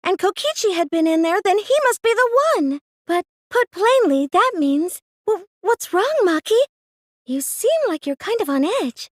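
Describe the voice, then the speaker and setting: Nerdy teenage girl, extremely high voice, lively swooping intonation, earnest, hesitant, video game character. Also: normal speed, no echo, clean studio sound. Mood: puzzled, concerned. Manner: hesitant, earnest, stammers when flustered. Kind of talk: English game dub dialogue.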